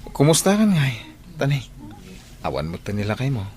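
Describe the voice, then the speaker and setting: An actor playing a hardworking, hesitant tricycle driver hurt by betrayal, with a Filipino Ilocano accent, in an emotional radio drama. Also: male voice, medium pitch, huge pitch swings, brisk, a little mellow, slight background noise. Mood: puzzled, polite.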